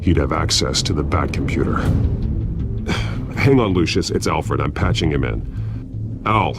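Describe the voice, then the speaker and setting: Very deep, grim masked vigilante. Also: male voice, lively intonation, slow, heavily acted. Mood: grim, urgent. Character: brooding male masked vigilante hero, grim and commanding. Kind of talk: video game dramatic acting. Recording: loud music behind the voice.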